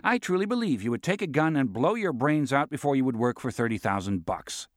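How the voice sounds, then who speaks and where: Male reader as brash, money-hungry lawyer; blunt, mocking, lively delivery. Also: medium pitch, brisk, no echo, clean studio sound, voice pushed up, close to an everyday voice. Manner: blunt and needling, swears casually. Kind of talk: audiobook dialogue reading.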